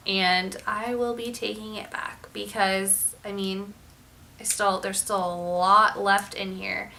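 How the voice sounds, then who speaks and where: A young woman with a bright, forward voice reviews makeup in an easy, candid chat. She sounds a little nasal from a lingering sinus infection, and her talk rambles with ums and likes. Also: medium pitch. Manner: chatty, candid, peppered with um and like. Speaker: beauty vlogger. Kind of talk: casual product review chat.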